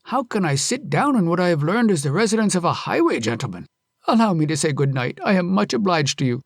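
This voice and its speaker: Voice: medium pitch, big pitch swings, quick pace, no echo, clean studio sound, pitched above the performer's own voice. A storyteller voicing a polite, earnest young male traveler who pleads courteously.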